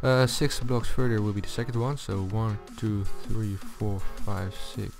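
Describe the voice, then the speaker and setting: A man with a low voice giving a casual, unscripted gaming tutorial, thinking aloud as he goes. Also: fairly even intonation, a little slow, creaky. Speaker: video tutorial host. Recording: music behind the voice. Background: loud music.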